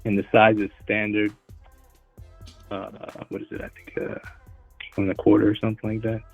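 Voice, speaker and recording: A man calling in with questions, hesitant and halting, full of ums and uhs. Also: lowish voice, monotone, vocal fry, phone-quality sound, faint music behind. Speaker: caller. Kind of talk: phone-in questions.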